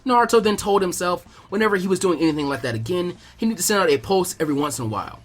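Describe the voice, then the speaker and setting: A man reading fan fiction as animated scripted narration, switching into character voices. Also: sits high, racing, a little hiss or hum. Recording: music behind the reading.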